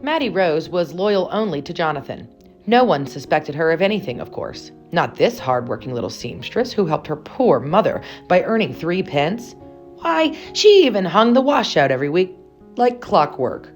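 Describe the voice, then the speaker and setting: A woman with a low voice reads a children's picture book aloud. Her delivery is expressive and storylike, with carefully shaped, dramatic phrases. Music plays behind her. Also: normal speed.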